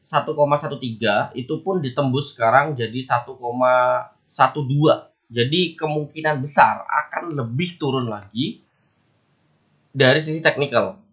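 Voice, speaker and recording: A man's voice: medium pitch, moderate pace, fairly bright tone. A man with a stuffy, nasal voice from a cold, chatting casually as a forex analysis host and talking straight to his viewers. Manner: chatty, repeats words, friendly asides to viewers. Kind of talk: casual market commentary to camera.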